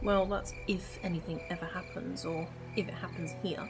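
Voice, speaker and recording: A woman's voice: lowish voice, steady tone, normal speed, over music. A woman playing a curious, cheeky young time-travel companion in a fan audio drama, chatty and inquisitive. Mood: impatient. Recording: amateur recording with clear background noise.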